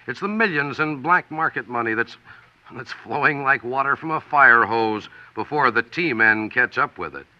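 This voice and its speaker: Man, American accent, vintage radio narration, very sharp forceful tone, dry dull old recording, punchy and dramatic. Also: medium pitch, expressive, moderate pace, no room sound. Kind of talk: 1940s radio documentary scripted narration.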